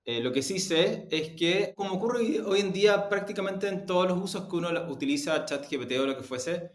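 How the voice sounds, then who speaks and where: A man's voice: sits high, animated, brisk. Man in an echoey room, explaining hesitantly. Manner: hesitant, searching for words, explanatory. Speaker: media executive, interview guest. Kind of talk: conversational interview answers. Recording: roomy, echoey sound.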